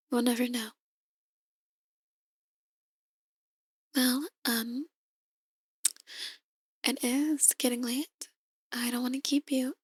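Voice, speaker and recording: A woman performing an ASMR roleplay as a soft-spoken, possessive female demon, coaxing in a hushed, breathy voice that often slips into whispers. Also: high voice, no room sound. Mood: gentle, mysterious.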